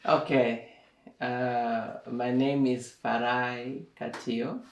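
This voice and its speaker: A young man from Zimbabwe, a guest in a casual interview, speaking slowly and thoughtfully, with plenty of fillers. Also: medium pitch, little pitch movement, dull audio, a little hiss or hum. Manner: slow and reflective, with many fillers. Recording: echoey room.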